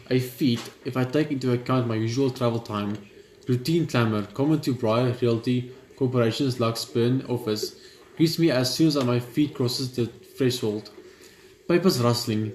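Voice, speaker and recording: A man's voice: mid-range voice, slight background noise. Man reading a story aloud, roomy sound. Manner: steady, reflective reading with small slips and restarts. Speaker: gamer reading a visual novel aloud. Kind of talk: first-person story narration. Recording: roomy with game music. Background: game music.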